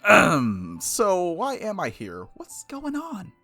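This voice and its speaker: A man's voice: high voice, huge pitch swings, takes it slowly, creaky, pushed and bright, soft background music, voice pushed up, heavily acted. A man playing a confused, defensive young male protagonist in a visual novel, full of indignant questions.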